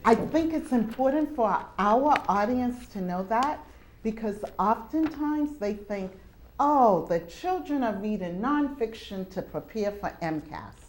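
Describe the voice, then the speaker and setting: A woman's voice: on the high side, lively intonation, unhurried, darkish tone, a little hiss or hum, rough recording. A woman hosting a show with children, speaking in an emphatic, teacherly way and coaxing them with questions. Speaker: TV show host leading students. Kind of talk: talk to children before an audience. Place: college venue, taped live with audience.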